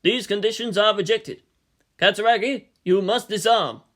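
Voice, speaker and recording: A woman's voice: mid-range voice, normal speed, a bit bright, natural voice. Woman acting a stern, weary male president. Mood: grave, resolute.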